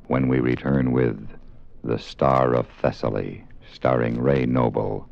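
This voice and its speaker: A man's voice: rumbling low, vocal fry, muffled recording. A man announcing in scripted 1950s radio style. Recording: band-limited 1950s radio recording.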